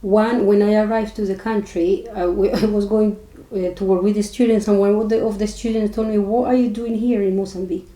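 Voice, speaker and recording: A woman with a Spanish accent co-hosting a podcast, talking earnestly and haltingly with many restarts. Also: mid-range voice, steady tone, warm tone, echoey room, rough recording. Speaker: podcast co-host. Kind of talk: casual chat.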